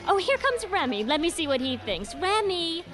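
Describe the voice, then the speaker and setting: An actress playing an effusive, gracious lodge hostess in a radio drama, eager and hospitable. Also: female voice, very high voice, big pitch swings, moderate pace, faint music behind. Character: effusive, gracious woman hosting guests at her lodge.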